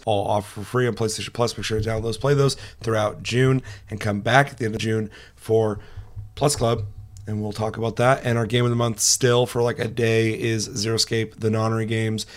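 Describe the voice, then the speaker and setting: A young man with a hoarse, raspy voice, hosting a casual gaming podcast with chatty, self-deprecating humor. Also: lowish voice. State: hoarse, recovering lost voice. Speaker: podcast host.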